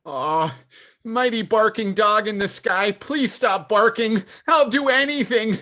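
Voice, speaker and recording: Guy doing scared cartoon dog, whiny begging, high n bright. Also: unhurried. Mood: fearful pleading. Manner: whimpering, pleading. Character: trembling cowardly dog pleading with the thunder.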